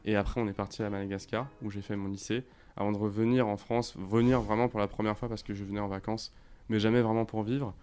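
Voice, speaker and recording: A man in his thirties, from Côte d'Ivoire, co-founder of a sneaker brand, chatting casually and relaxed in an interview, with lots of fillers and restarts. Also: fairly low pitch, quick pace, clean tone, soft background music. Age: 30s.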